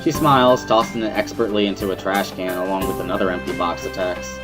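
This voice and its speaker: A man reading first-person visual novel narration in a measured, reflective way, as an amateur dramatic reading. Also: low voice, steady tone. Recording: music behind the voice.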